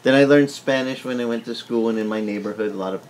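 A middle-aged man whose first language is Italian, preaching an animated teaching sermon indoors and asking the room questions. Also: mid-range voice, quick pace, slight background noise. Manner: animated, gesturing broadly, asks the room questions. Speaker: pastor. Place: wood-paneled indoor room.

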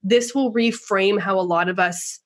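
A woman's voice: mid-range voice, normal speed. A middle-aged woman from Canada, a podcast guest chatting in an upbeat, easy way and laughing often. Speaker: guest, Instagram consultant. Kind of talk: casual interview chat.

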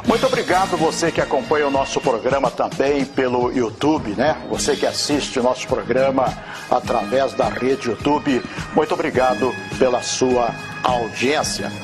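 A man's voice: medium pitch, moderate pace, over music. A middle-aged man with a Brazilian Portuguese accent, presenting from a TV studio in a formal manner. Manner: formal, thanking viewers. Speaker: TV host.

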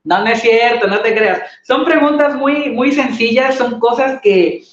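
Hombre mexicano bromista, entrevistador, sonido de cámara web apagado, cuarto con eco. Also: male voice, very high-pitched, unhurried, a bit bright. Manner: playful, teasing, joking. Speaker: host. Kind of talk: casual video-call interview. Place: small room indoors. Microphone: webcam on a video call. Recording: dull, roomy webcam sound.

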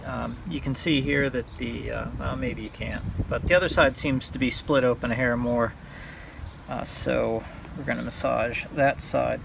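A man talking through a hands-on repair tutorial in a halting, unscripted way, thinking aloud as he works outdoors. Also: mid-range voice, fairly even intonation. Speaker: hobbyist demonstrating a repair. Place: outdoors by a car on a windy day. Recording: clear outdoor background noise.

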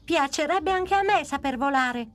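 A woman's voice: sits high, animated, moderate pace, faint music behind. Cheeky little boy dinosaur. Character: cheeky young male dinosaur child. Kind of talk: Italian cartoon dubbing.

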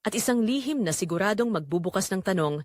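Woman acting an earnest confessional mother, lively pitch. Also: fast talker, no room sound, studio quality, lightly acted. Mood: earnest, burdened. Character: poor, devoted, guilt-burdened mother confiding a secret. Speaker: narrator of an audio drama. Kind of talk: scripted dramatic first-person narration.

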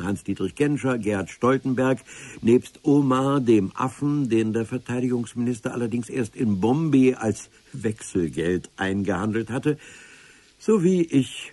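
Low husky ministry official, dry close sound, calm ironic telling. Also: male voice, no room sound. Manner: measured, ironic storytelling. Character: dry male ministry official keeping the record. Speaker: narrator. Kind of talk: scripted radio play narration.

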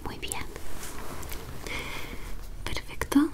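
Young woman, whispered ASMR medical exam role play, very unhurried, soft step-by-step instructions. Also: rough recording. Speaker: ASMR performer playing a medical examiner.

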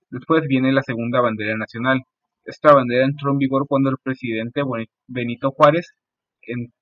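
A young man giving an informal history presentation in a halting way, often repeating his phrases. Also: mid-range voice, no room sound. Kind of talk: informal school-style history presentation over slides. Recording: muffled, phone-like narow band, dry.

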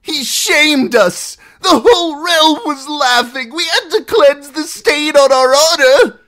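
A shrill, cowardly pleading lordling. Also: male voice, expressive, takes it slowly, a bit bright, exaggerated voice. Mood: shrill outrage. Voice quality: shrill, squealing. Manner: frantic, stammering, self-excusing. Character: cowardly, pleading captive lordling, adult man, whining and shrill. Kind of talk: audiobook character acting.

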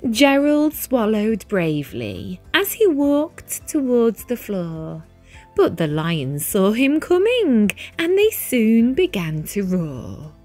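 A woman's voice: on the high side, big pitch swings, slow pace, darkish tone. A woman reading a picture book aloud expressively, with a careful storytelling lilt. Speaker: children's story reader. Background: music.

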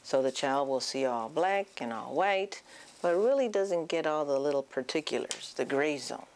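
A woman's voice: deep voice, expressive, normal speed, a little mellow, slight background noise. A middle-aged woman speaking to parents as a psychiatrist, explaining her advice with emphasis, recorded on a lapel mic in an office. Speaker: child and adolescent psychiatrist giving advice. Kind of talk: informational talk to camera, addressing parents. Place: small indoor office.

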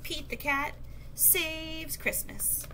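A middle-aged woman reading a children's picture book aloud in an expressive, sing-song manner. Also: high-pitched. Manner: sing-song, expressive, slow and deliberate delivery for young children. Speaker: storytelling teacher reading aloud. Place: small classroom or office, indoors. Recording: clear background room noise.

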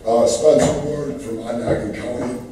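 A man's voice: medium pitch, fairly even intonation, normal speed, dark tone, a little hiss or hum. A man who is losing his voice, speaking earnestly with many fillers during public comment. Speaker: public commenter at city meeting. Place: large council chamber, standing at podium. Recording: roomy, distant council-chamber recording.

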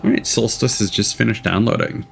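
A man's voice: fairly low pitch, big pitch swings, a little fast, creaky. A man streaming casual live commentary as he reacts to a games showcase. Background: game trailer music.

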